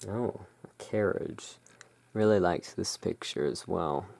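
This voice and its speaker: A soft-spoken ASMR host with a low-pitched, creaky voice. The delivery is very slow and hushed, drifting between gentle musing and measured reading, as part of a relaxing roleplay. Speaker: host, playing curiosity emporium owner.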